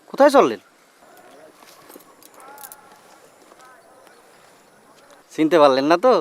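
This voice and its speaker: A man acting as a humble working-class friend, earnest and deferential. He pleads in a high voice with an eastern Bengali dialect, in the manner of film drama. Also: a bit bright. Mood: friendly, deferential.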